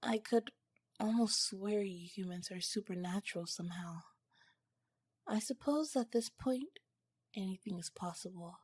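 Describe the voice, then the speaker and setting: A woman voicing a tender, curious alien scientist in an ASMR romance roleplay, speaking softly and formally in a breathy, whispery voice with some halting phrases. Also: mid-range voice, no echo, studio quality. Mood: smitten, shy. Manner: soft, halting, formal wording. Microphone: close, dry mic.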